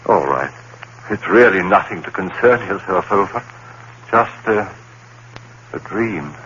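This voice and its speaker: Vintage radio drama: a haunted academic, bright and lively voice, dull hissy broadcast sound. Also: male voice, low-pitched, a little slow. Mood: evasive. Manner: dramatic first-person narration, brooding. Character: haunted male professor, rational and frightened. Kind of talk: 1940s radio acting. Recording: dull old radio broadcast with faint hiss.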